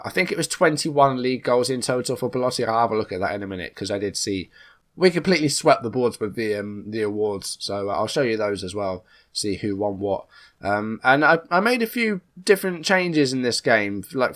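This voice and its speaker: A man's voice: on the low side, a bit bright. Man with a cold, casual gaming commentary. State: coming down with a cold. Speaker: YouTube gaming channel host. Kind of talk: casual let's play commentary.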